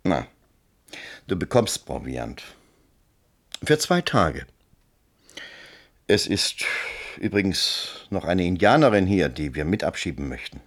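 An actor in a German radio play, playing a cold, authoritative army fort commander with a husky voice and a condescending, official manner. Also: male voice, deep voice, big pitch swings, slow pace, pauses often, exaggerated voice.

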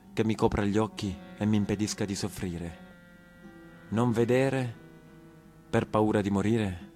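A man's voice: lowish voice, a little mellow. Man, theatrical narrator, scripted monologue, declamatory, emphatic, dramatic delivery, faint music behind. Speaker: stage actor and narrator.

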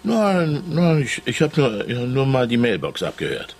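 Radio drama: hardened middle-aged judge, husky, widely inflected, curt and self-righteous. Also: male voice, mid-range voice, huge pitch swings, moderate pace, a little mellow. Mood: evasive. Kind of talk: naturalistic German radio drama dialogue.

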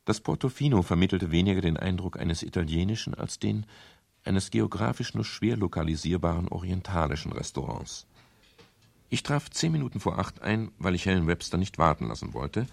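An actor playing a middle-aged private detective in a radio crime drama, wry, dry and expressive in dialogue and narration. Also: male voice, deep voice, a little fast, creaky, full character voice. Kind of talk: 1970s German radio crime drama acting and first-person narration.

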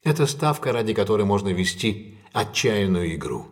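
An actor playing a composed, decisive gentleman detective in an audio drama, speaking with measured, courteous authority. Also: fairly low pitch, very animated. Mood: probing, serious.

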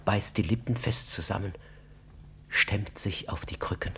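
A man reading literary narration for a radio drama in a measured, deliberate, sombre way. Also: on the low side, vocal fry, whispered.

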